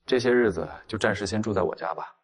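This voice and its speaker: An actor playing cool, restrained, clever young men in a detective drama for an animated comic. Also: male voice, fairly low pitch, fast talker, a loud crowd, lightly acted. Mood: calm, probing.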